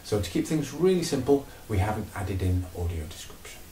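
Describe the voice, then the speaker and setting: Low-voiced man introducing a video. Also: very animated, brisk, mellow, a little hiss or hum. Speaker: theatre programme organiser introducing a video. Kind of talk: scripted introduction to camera. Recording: echoey room, mic at a distance.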